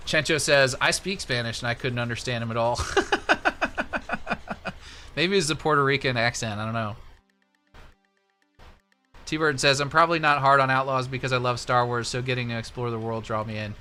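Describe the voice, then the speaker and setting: A man's voice: mid-range voice, fairly quick, bright, forceful tone, clean tone, faint music behind. An American man from Missouri hosting a livestream, chatting casually and giving his opinions as he answers viewers on a close desktop microphone. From Missouri, United States. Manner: conversational, opinionated. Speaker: livestream host. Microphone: close desktop USB microphone.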